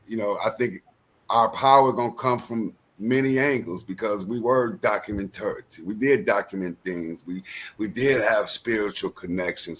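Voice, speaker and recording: Here is a man on a discussion panel with a lowish voice. He talks in a halting, agreeable way and keeps restarting his sentences. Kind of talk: casual group discussion.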